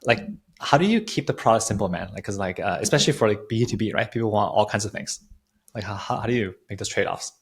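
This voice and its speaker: A man with a slightly low voice hosts a casual podcast chat. He talks quickly and informally, repeating words as he works his way into questions and comments. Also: lively intonation. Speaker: podcast host, interviewer.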